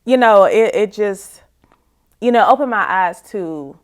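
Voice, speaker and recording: A woman's voice: medium pitch, animated, unhurried. A woman in her forties giving a reflective, candid personal testimony as an interview guest, in a conversational way, often saying "you know". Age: 40s.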